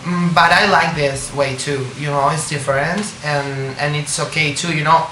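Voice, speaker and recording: Spanish-accented man, halting English. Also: on the high side, steady tone. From Spain. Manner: halting, searching for words in English, frequent restarts. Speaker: singer, interview guest. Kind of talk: casual interview answers. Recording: echoey room with music behind.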